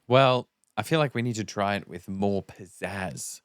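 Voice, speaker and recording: A man's voice: lowish voice, a little slow, clear, resonant tone, no echo, studio quality. A man chatting casually as a podcast host. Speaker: podcast host and amateur actor. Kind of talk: casual chat.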